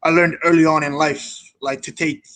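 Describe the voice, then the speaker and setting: A man's voice: fairly high pitch, level tone, normal speed, fairly bright tone, dry recording. A young man from Kenya chatting casually and earnestly as an interview guest. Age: young adult. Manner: earnest, informal. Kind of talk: casual chat.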